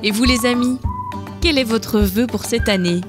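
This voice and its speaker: A woman's voice: fairly high pitch, moderate pace. A woman narrating a children's storybook and hosting, with music behind her. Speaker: storybook narrator and channel host. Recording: music bed under the voice.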